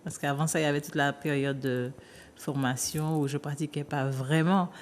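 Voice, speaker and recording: Calm explaining woman therapist. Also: sits low, normal speed, a little hiss or hum. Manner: calm, explanatory, hesitant fillers. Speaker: therapist guest. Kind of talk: interview answers.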